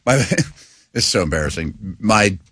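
A man's voice: lowish voice, expressive, moderate pace, fairly bright tone, slightly rough, no echo. A middle-aged American man co-hosting a sports radio show, chatting casually and telling anecdotes.

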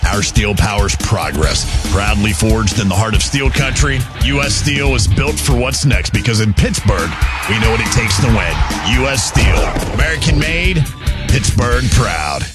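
A man reading an emphatic commercial script in a lowish voice. Loud music plays behind him throughout. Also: lively intonation, normal speed. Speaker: ad announcer.

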